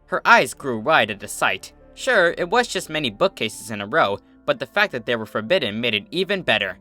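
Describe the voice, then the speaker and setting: A man reading fan fiction as a narrator, in an expressive scripted storybook style. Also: medium pitch, a little fast, soft background music.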